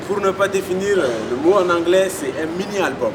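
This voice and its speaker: A man's voice: high-pitched, a little fast, a crowd in the background, poor audio. A young man from Chad, a guest musician, answering interview questions in a hesitant way with many fillers. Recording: loud background noise.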